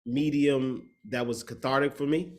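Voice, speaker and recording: A man's voice: medium pitch, measured pace. Reflective man from the Bahamas telling his story. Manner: reflective, steady, searching for words. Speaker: matchmaker and speaker. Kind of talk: casual interview storytelling.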